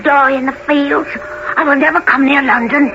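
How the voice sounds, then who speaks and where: A man's voice: very high voice, steady tone, moderate pace. A male actor playing a frightened, innocent little orphan boy, pleading, in a radio play. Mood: terrified pleading. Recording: dull, noisy old radio recording.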